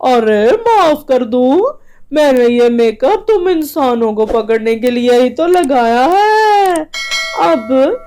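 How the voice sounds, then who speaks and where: A woman voicing a wicked, power-hungry witch in a story, gloating with drawn-out menace. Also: high voice, expressive, a little mellow. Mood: menacing, mocking.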